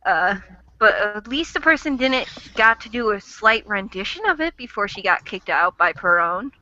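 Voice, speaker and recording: Bright-voiced woman chatting casually. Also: mid-range voice, lively intonation, normal speed. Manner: rambling, filler-heavy. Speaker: fan chat host. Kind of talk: casual podcast chat. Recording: lo-fi audio.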